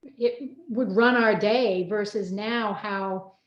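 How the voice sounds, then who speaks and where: A woman's voice: mid-range voice, steady tone, slow. A middle-aged woman hosting an unrehearsed, casual chat in a chatty, informal, rambling way. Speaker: co-author hosting a personal chat. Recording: roomy, dull lo-fi sound.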